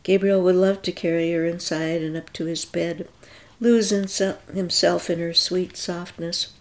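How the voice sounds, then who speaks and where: A woman narrating a story aloud in a calm, even reading voice. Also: lowish voice, measured pace.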